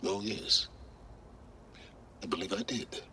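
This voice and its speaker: Arrogant scholar, scheming, pompous, very sharp bright tone, lowish pitch, deliberate phrasing, video game cutscene. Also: male voice, slight background noise, full character voice. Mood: self-satisfied. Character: arrogant, self-important, scheming older male scholar.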